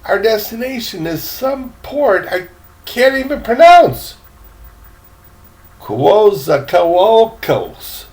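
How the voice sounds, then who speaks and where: A man's voice: high-pitched, big pitch swings, slow pace, a little mellow, pitched above the performer's own voice, exaggerated voice. An older man voicing a frank, polite, well-off boy in a storybook, with matter-of-fact manners.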